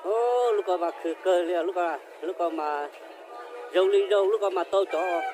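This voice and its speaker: A man's voice: high voice, measured pace. A man in his thirties vlogging while shopping in a clothing store, chatting casually with many fillers.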